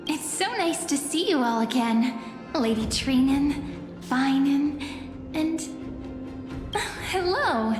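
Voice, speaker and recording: A woman acting a sweet, serene young maiden in a video game, gentle and teasing. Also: sits high, expressive, takes it slowly, pauses often. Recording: echoey reverb, music behind.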